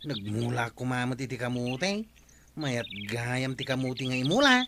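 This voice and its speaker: An actor with an Ilocano accent playing a chatty, helpful tricycle-driving villager in a radio comedy drama. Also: male voice, mid-range voice, lively intonation, moderate pace, background noise, close to an everyday voice. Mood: chatty, teasing.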